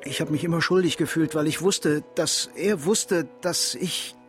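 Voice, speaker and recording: An actor playing a guilt-ridden, volatile criminal making an intense, coarse confession in a radio drama. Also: male voice, fairly high pitch, a little slow, soft background music, natural voice. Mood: guilty, haunted.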